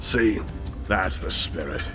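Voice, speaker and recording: A man's voice: sits low, slow, music in the background. A man playing the cocky leader of a band of fantasy mercenary hunters, speaking in a wry, dryly amused drawl for an audio drama. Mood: wry, teasing.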